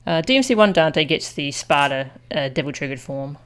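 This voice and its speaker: A woman's voice: low-pitched, expressive, normal speed, smooth. A woman chatting casually with viewers as she streams a video game. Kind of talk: casual livestream chat with viewers.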